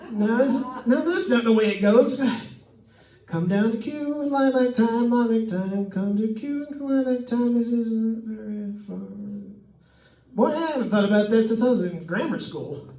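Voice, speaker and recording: A man's voice: very high-pitched, expressive, moderate pace, a little mellow. An actor playing a nervous, wisecracking man alone in a haunted house, chatting to listeners in an old-time radio suspense style. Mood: unhinged rambling. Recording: echoey room, distant mic.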